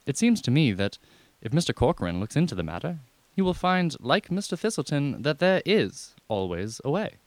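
A man's voice: on the high side, very animated, no room sound. A man playing a deferential, unflappable valet who speaks formally and at length in a comic radio drama. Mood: discreetly persuasive. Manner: formal, measured, circumlocutory.

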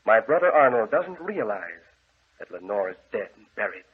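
Husky, grave gentleman, old radio drama. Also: fairly low pitch, big pitch swings, normal speed. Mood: solemn, sombre. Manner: formal, solemn, commanding. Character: grave, controlling gentleman guarding his deranged brother. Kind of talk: 1940s radio horror acting. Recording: tinny, band-limited old radio recording.

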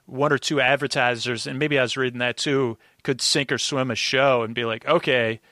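A man chatting casually as a podcast guest, rambling and halting as he circles back over his words. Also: mid-range voice, steady tone, bright, forceful tone, dry recording, studio quality. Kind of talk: casual conversation, recapping an old radio show.

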